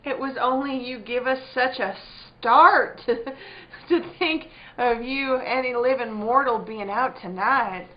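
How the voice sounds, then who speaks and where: An actress playing a guarded, plainspoken country farm wife in a spooky radio drama. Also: female voice, fairly high pitch, a little slow, natural voice. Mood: fussing, welcoming. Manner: plainspoken, homely, increasingly suspicious. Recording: dull lo-fi audio with faint noise.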